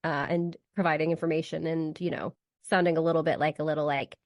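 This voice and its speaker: A woman co-hosting a podcast with a congested voice from a cold, chatting and explaining in a relaxed way. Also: sits low, quick pace, dry recording, muffled recording. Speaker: podcast co-host. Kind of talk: casual podcast chat.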